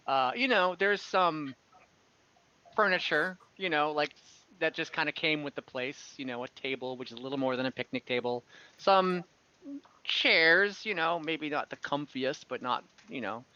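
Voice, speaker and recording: A man narrating a tabletop game as game master in an informal, halting, improvised way. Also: fairly high pitch, animated, pushed and bright, clear, resonant tone, no room sound, lo-fi sound.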